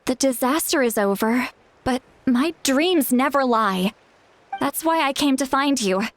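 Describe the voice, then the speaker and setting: A woman's voice: high voice, lively intonation, measured pace. A woman playing an earnest, anxious young seer in a video game, explaining things urgently with hesitant asides. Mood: grave. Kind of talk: English video game voice acting. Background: faint game music.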